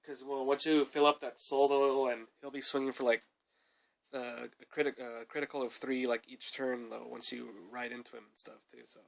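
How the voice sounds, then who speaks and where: A man's voice: mid-range voice, level tone, moderate pace, gravelly. A young man with a cold, giving a rambling, casual review of his card deck and filling his talk with "though" and "uh". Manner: rambling, repeated fillers like "though" and "uh". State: sick with a cold, coughing.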